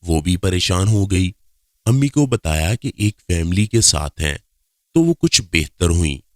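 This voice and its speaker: A man's voice: deep voice, very animated, measured pace, no room sound, clean studio sound. A man reading a scripted first-person story as its narrator.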